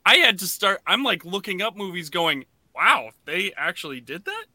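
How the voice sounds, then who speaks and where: A man co-hosting a podcast in casual, animated chat, excitable and quick to exclaim. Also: high-pitched, no echo. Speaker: podcast co-host.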